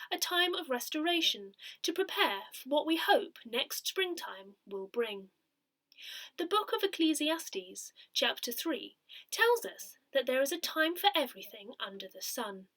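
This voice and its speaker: A woman reading a church newsletter aloud in a measured, carefully phrased way. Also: sits high, a bit bright, poor audio. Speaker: volunteer reader of an audio newsletter.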